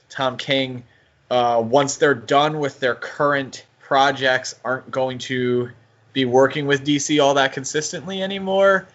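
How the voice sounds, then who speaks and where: A dull, slightly lo-fi recording of a man hosting a podcast. His pitch sits in the middle for a male voice. He talks casually and haltingly, feeling his way through his points in a conversational manner. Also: a bit bright. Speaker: podcast host.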